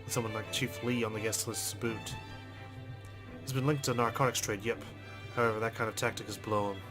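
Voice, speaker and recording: A man reading visual novel text aloud as a let's player, in a measured, understated storytelling manner with brief asides. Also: fairly low pitch, fairly even intonation. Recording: music behind the voice. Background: game music.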